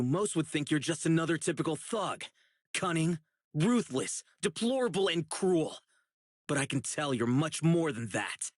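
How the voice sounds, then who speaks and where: A man's voice: sits high, no echo, clean studio sound, exaggerated voice. An actor playing an earnest, righteous young male public security officer in a video game, speaking emphatically. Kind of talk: video game character voice acting.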